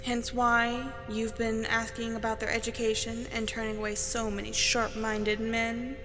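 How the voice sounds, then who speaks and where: A woman's voice: fairly high pitch, completely flat delivery, a little slow, in a large hall, music in the background. A woman voicing a sly, scheming female poisoner who speaks in a smug, gloating way.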